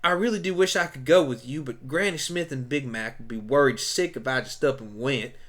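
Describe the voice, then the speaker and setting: A woman voicing an eager, excitable young farm pony with a folksy way of talking in a fan radio play. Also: very deep voice, a little fast. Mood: reluctant.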